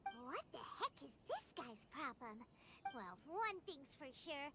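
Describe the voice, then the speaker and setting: A tiny fairy girl sidekick from a video game. The voice is extremely high, childlike and squeaky, leaping all over in pitch with chatty excitement. Loud music plays underneath. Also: slow, full character voice. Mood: indignant, curious. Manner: chatty, exclamatory, refers to self in third person. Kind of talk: animated game character dialogue. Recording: music behind the voice.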